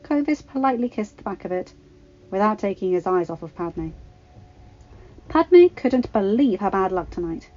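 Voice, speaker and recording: A woman's voice: mid-range voice, animated, moderate pace, dark tone, muffled recording. A woman reading fan fiction aloud as a scripted narration, now and then stumbling over a word. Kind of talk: scripted story narration with character voices.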